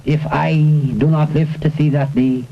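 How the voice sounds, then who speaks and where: A man giving a solemn, declamatory recitation of political verse in measured phrases with deliberate pauses, on an old film soundtrack. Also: a little high, level tone, vocal fry, muffled recording. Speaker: poetry reciter. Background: music.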